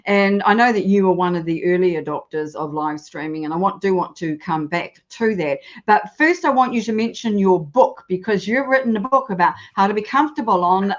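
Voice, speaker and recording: A woman hosts a live interview over a video call, chatting with frequent ums and small laughs. Her audio sounds dull and lo-fi. She talks at an ordinary middle pitch. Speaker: host.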